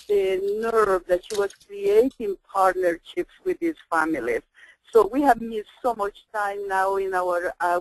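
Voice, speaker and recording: A woman's voice: mid-range voice, creaky, dry recording, low-quality recording. A woman from El Salvador with a Spanish accent, presenting a webinar as a trainer in an earnest, emphatic way.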